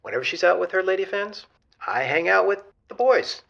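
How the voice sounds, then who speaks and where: A sheepish gamer guy, voiced by a man calling into a comedy advice show, gravelly and halting. His voice comes through a dull, lo-fi line. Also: high voice, lively intonation, fairly bright tone, full character voice. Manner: hesitant, halting. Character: sheepish male gamer with a girlfriend ultimatum. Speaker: caller.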